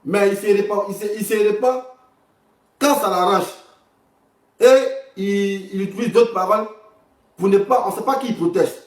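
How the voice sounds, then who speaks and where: A man's voice: high-pitched, moderate pace, long pauses. Echoey, rambling Ivorian man livestreaming. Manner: rambling, repetitive, blunt and crude. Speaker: livestream host. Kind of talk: informal livestream political commentary. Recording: echoey room, distant from the mic.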